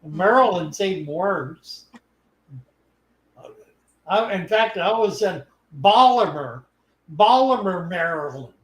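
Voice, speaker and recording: An older man hosting a live stream, chatting in a slow, unhurried drawl. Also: high voice, expressive, slight background noise. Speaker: host. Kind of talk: casual live stream chat. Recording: echoey room.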